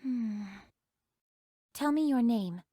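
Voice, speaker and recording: An actress voicing a stern, fiercely protective squad fighter in a game cutscene, terse and commanding. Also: female voice, a little high, lively intonation, slow pace, warm tone, no room sound, clean studio sound. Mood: calm. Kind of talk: scripted game cutscene acting. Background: background music.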